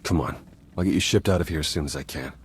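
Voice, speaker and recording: An actor playing a wry, protective, down-to-earth secret agent in a video game, with a casual, clipped delivery. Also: male voice, rumbling low, fast, a little hiss or hum. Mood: protective, indignant. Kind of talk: video game cutscene acting.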